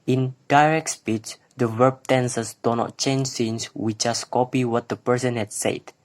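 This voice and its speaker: A man's voice: medium pitch, steady tone, measured pace. Young indonesian guy presenting class slides, plain reading, some uhs. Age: young adult. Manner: plain reading, with hesitant fillers when explaining. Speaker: student presenter.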